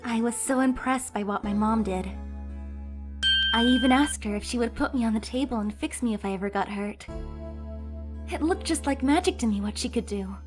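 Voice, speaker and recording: A woman's voice: a little high, normal speed, music in the background, natural voice. A woman voicing an earnest, cheerful young female defense lawyer who speaks with energy and sometimes breaks into emotional pleas. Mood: wistful. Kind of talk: fan dub voice acting.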